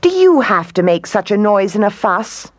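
A woman's voice: lowish voice, wildly swooping pitch, normal speed, no room sound, voice pushed up, full character voice. A woman voicing a crafty, haughty queen kangaroo in a children's story, scornful and coaxing. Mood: annoyed.

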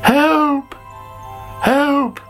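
Man playing a high-pitched, dramatic young greedy caterpillar. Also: steady tone, drawn-out words, fairly bright tone, soft background music, exaggerated voice. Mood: panicked. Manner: exclaiming, dramatic. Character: greedy young caterpillar, cheeky, self-pitying, whiny.

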